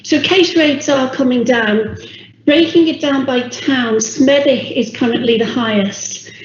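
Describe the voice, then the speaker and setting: English woman presenting data at a meeting, going hoarse, roomy lo-fi call audio. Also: sits high, slight background noise. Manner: measured, with frequent ums. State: losing her voice. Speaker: public health officer presenting. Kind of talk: online council meeting briefing. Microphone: video call. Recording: echoey, dull video call audio.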